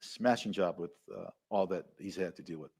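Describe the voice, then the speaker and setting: A man's voice: low voice, moderate pace, gravelly, a little mellow, breathy edge. A man from the American South with a Southern accent, giving enthusiastic live commentary full of fillers and anecdotes.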